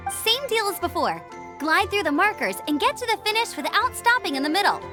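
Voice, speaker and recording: Bubbly, very high-pitched young girl instructor. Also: fairly quick. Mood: eager, upbeat. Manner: bubbly, encouraging, animated. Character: perky, eager young female gliding instructor. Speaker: gliding instructor character. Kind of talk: video game voice acting. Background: game music.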